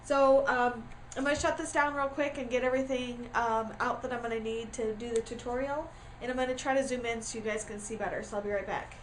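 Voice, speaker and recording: Woman, high voice, congested from a cold, chatty and full of filler words, camera-mic sound with faint ambience. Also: fairly even intonation, brisk, slight background noise, low-quality recording. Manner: chatty with frequent ums and uhs. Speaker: craft tutorial host. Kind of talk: casual craft tutorial.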